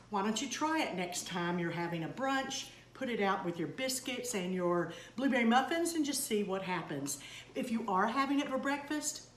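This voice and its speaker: An older woman, hosting a casual cooking demonstration in a large home kitchen and chatting homily while she works. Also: mid-range voice. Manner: chatty, homey, talks while working. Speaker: cooking segment host. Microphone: fixed camera across the kitchen counter. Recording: roomy kitchen with faint hum.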